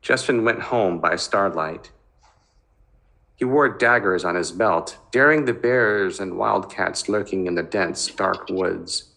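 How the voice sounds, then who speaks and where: Bright, forceful male narrator, lowish voice. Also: slow, creaky. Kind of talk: dramatic scripted fiction narration.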